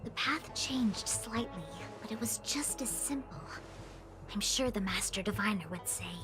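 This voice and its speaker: A woman's voice: medium pitch, measured pace, music in the background, close to an everyday voice. A woman voicing a cheeky, easygoing young diviner girl in a video game, casual and confident. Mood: breezy defiance. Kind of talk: anime-style game cutscene acting.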